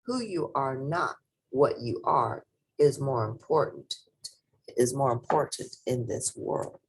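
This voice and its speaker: A woman reading a children's picture book aloud in an expressive, deliberate and emphatic storytelling manner. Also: extremely low pitch, husky, rough recording. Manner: deliberate, emphatic storytelling with spoken asides to listeners.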